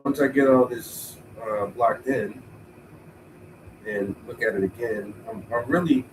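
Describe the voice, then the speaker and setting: A man thinking aloud in a relaxed, rambling way as he paints, sounding stuffy and tired with a cold. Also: mid-range voice, a little slow, recorded from a distance, noisy background. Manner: laid-back, rambling, thinking aloud, swears casually. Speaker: painter streaming while painting. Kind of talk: casual talk to himself while painting.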